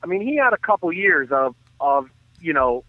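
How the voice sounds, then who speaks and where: A man giving earnest, detailed off-the-cuff baseball commentary as a radio guest, often halting and restarting his sentences. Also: on the high side, sing-song, no room sound. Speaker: baseball analyst and guest on a sports radio show. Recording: thin phone-like line.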